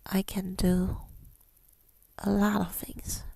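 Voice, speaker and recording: Woman, tender ASMR whisper, very creaky, soft dark tone, breathy, hesitant, affirming, close mic. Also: mid-range voice, completely flat delivery, takes it slowly, no echo. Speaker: ASMR creator speaking to listeners. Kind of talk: intimate ASMR monologue, mostly whispered.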